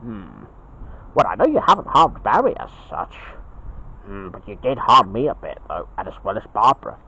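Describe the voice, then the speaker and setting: A man's voice: lowish voice, very animated, moderate pace, sharp tone, slightly rough, voice pushed down, exaggerated voice. A slightly muffled home recording of a man playing a cartoon dad bear. He is patient and encouraging, murmuring along with hums and hesitations, his intonation moving freely. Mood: gentle, forgiving. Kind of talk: homemade cartoon dialogue.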